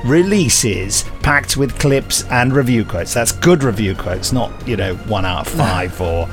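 A man's voice: lowish voice, expressive, unhurried, over music. A man co-hosting an audio drama podcast, reading links with wry, self-mocking humour. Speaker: podcast co-host. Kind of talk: casual podcast chat.

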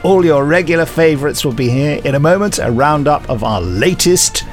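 A man's voice: on the high side, animated, normal speed, faint music behind. A man co-hosting an audio drama podcast, reading links with wry, self-mocking humour. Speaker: podcast co-host. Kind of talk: casual podcast chat.

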